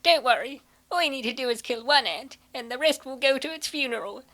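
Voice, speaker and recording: A woman voices an easygoing, food-loving college student for a comic dub. The voice is high. The delivery is breezy and playful. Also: fairly quick, fairly bright tone. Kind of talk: comic dub character acting.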